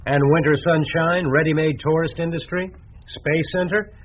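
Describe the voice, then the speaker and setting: An actor playing a polite, skeptical city businessman in a radio drama, speaking in a courteous, measured, dry way. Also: fairly high pitch, steady tone, lo-fi sound, slight background noise, natural voice.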